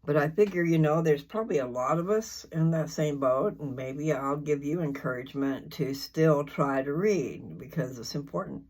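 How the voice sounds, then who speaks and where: An older speaker chatting casually and informally in a folksy, meandering way, often halting to search for words. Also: mid-range voice, darkish tone. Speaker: host of a scripture reading channel. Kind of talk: rambling casual chat before a reading.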